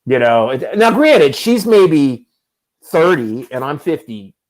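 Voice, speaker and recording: A man's voice: fairly high pitch, big pitch swings, takes it slowly. A man in his fifties telling long, self-mocking stories in a casual chat as host. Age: 50s. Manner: rambling, self-deprecating storyteller.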